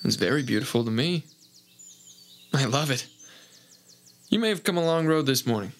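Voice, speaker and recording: An actor playing a calm, wise older countryman who speaks gently and chuckles softly. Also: mid-range voice, normal speed, a little hiss or hum, close to an everyday voice. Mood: gentle. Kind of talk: radio play acting.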